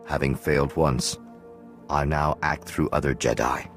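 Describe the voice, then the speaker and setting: An actor playing a solemn, penitent hermit watchman in a video game, speaking in a measured, formal, philosophical way. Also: extremely low pitch, fairly even intonation, a bit bright, faint music behind, heavily acted. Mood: calm, grave. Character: solemn male hermit Jedi watchman, calm, penitent, cryptic.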